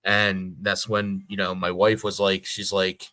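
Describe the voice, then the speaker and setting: Bright-voiced man chatting, low and close. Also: level tone, measured pace, no echo. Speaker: voice actor guest. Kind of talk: casual podcast conversation.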